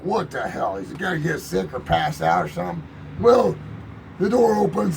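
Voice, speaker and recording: A man's voice: high voice, vocal fry. A man telling a rambling, boastful tall tale in a folksy drawl, as if chatting on a porch. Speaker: storyteller in character. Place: outdoors on a porch.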